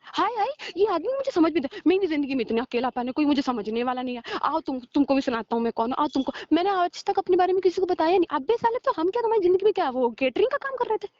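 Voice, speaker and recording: A woman's voice: very high voice, expressive, very fast, a little mellow, no room sound. A woman arguing heatedly with a partner on a recorded phone call, scolding and sarcastic, slipping English words into her talk. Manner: mixes in English words and phrases.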